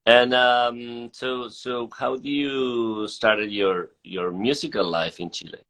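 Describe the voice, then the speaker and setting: A man's voice: mid-range voice, fairly even intonation, slow, fairly bright tone. A man hosting a casual interview, often hesitating and rephrasing as he asks his questions. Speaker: interview host, festival artistic director.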